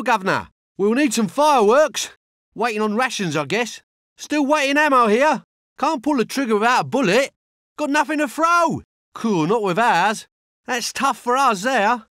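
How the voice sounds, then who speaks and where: An actor playing an easygoing, matter-of-fact infantry soldier in a video game, talking in a laid-back, colloquial way. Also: male voice, very high voice, a bit bright, no echo, studio quality. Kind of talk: video game unit barks.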